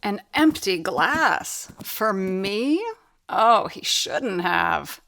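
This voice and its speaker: A woman's voice: medium pitch, very animated, extremely slow, gravelly, a bit bright, a little hiss or hum, heavily acted. An actress playing a worldly, sarcastic woman working at a radio theater in an audio drama. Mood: wryly amused. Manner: wry, sarcastic. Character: sardonic young woman staffer, worldly.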